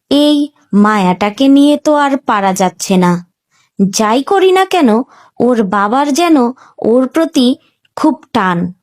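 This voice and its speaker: Cartoon stepmother, played by a woman: high voice, unhurried delivery, scheming and resentful, music underneath. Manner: scolding, grumbling. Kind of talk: Bengali cartoon voice acting.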